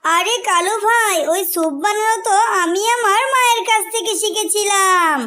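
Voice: very high-pitched, normal speed, pushed and bright. A performer voicing a small, trusting but easily indignant female tailorbird in a children's cartoon. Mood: agreeable.

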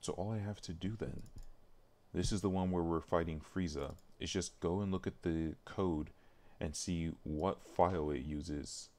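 A man thinking aloud in a casual, halting way as he streams work at his computer, muttering to himself. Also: sits low, dark tone. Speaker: live streamer working on a game mod. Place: indoors at a computer, keyboard typing.

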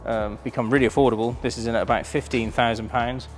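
A man's voice: mid-range voice, fairly even intonation, quick pace, soft background music. A man, chatty and enthusiastic, presenting a car auction preview in a large warehouse showroom. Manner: enthusiastic, chatty, filled with ums.

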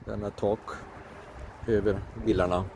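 A Swedish man narrating a casual road-trip vlog, relaxed and hesitant with many fillers. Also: lowish voice. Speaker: vlogger on a car road trip. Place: electric car on the road and at charging stops. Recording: clear car and road noise.

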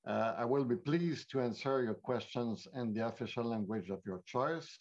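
An older man with a Quebec French accent lectures in a measured, explanatory way, pausing often on fillers. His voice sits at an ordinary pitch. The sound is muffled and dull, as from a headset on a video call. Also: a little mellow. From Quebec City, Canada. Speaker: panelist, former minister. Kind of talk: formal bilingual lecture. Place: home office.

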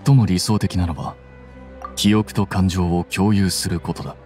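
An actor playing a cool, aloof young scholar who explains things in a composed, detached way in an anime game. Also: male voice, low-pitched, steady tone, moderate pace, music in the background, natural voice. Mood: analytical.

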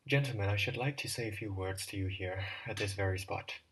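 A man reading an audiobook, voicing an earnest young man who counsels softly and then addresses a group of boys with feeling. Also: fairly low pitch, a little fast, a little hiss or hum, natural voice, low-quality recording. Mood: gentle. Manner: earnest, rising to a heartfelt address.

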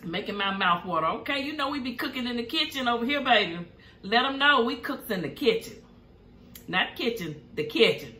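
A middle-aged woman with a Southern US accent chats and hums between bites at her table. Her voice is bright and forward. The room around her has a noticeable echo, with faint background noise. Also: fairly high pitch, lively intonation, brisk. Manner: chatty, savoring food with hums between bites. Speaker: mukbang host. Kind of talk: casual eating show chat, reading comments.

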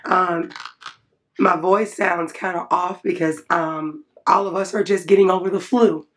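Raspy-voiced woman just over the flu, chatty, rambling vlogger talk. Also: on the low side, fairly even intonation, unhurried, a little hiss or hum. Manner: chatty, self-correcting, rambling. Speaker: planner vlogger. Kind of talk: casual planning walkthrough. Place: indoors at a desk, natural light.

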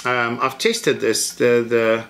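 Middle-aged guy, gravelly voice, walks you through a fishing trace, faint guitar behind. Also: medium pitch, fairly even intonation, takes it slowly, a bit bright. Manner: practical, matter-of-fact, explains step by step. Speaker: fishing instructor. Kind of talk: hands-on tutorial. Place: indoor tackle room with shelves of lures.

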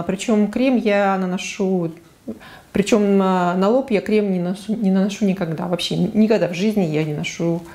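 Frank chatty woman, dark mellow voice. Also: mid-range voice, creaky. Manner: frank, chatty, many fillers and self-corrections. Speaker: beauty vlogger. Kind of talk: casual makeup talk to camera. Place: small living room, indoors. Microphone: lapel.

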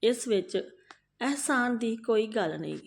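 A woman storyteller voicing a kind, humble, poor village mother with a gentle, apologetic manner in a folk tale. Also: on the high side, animated, measured pace, creaky.

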